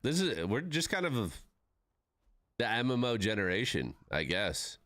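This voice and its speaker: A relaxed man in his thirties streams a game and talks to his chat, swearing easily and dropping "bro" and "man" all the time. His voice sits fairly low but swings up and down a lot. The sound is dry and close to the mic. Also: a little slow, dry recording. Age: 30s. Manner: laid-back, swears freely, says "bro" and "man". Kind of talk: casual live-stream chat with viewers.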